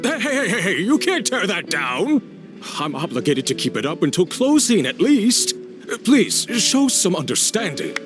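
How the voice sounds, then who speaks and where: Oily, wildly swooping front-desk clerk. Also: male voice, sits high, very animated, unhurried. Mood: flustered. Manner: unctuous, formal, theatrical. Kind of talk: animated game cutscene dialogue. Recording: music behind the voice.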